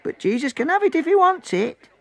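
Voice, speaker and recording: A man's voice: very high voice, very animated, fairly quick, creaky, dry recording, voice pushed up, exaggerated voice. A man voicing an eager, generous young boy in a dramatised story reading.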